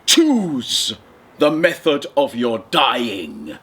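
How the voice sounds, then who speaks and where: A man voicing a vengeful ancient male genie who speaks in slow, grand, menacing pronouncements. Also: mid-range voice, huge pitch swings, a bit bright, husky, exaggerated voice.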